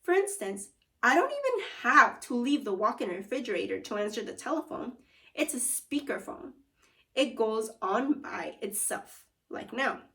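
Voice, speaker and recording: A loud, bossy diner cook, the food wizard, played by a woman reading aloud, whose voice jumps high and low as he fires off his brisk, matter-of-fact lines. The voice sits somewhat far from the mic. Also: very animated, full character voice. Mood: boastful.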